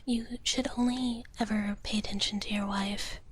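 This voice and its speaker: A woman speaking in a soft, breathy whisper close to the microphone, chatting casually and playfully as a livestream host and often repeating her phrases. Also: mid-range voice, fairly even intonation, normal speed, slight background noise. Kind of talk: live ASMR whispering, casual chat with viewers. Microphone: close ASMR microphone.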